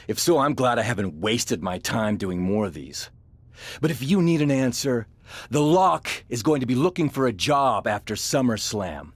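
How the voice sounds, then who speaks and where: An actor playing an arrogant, scornful champion wrestler in a video game, with a husky voice and a cocky, sneering delivery. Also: male voice, mid-range voice, no echo. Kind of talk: video game cutscene acting.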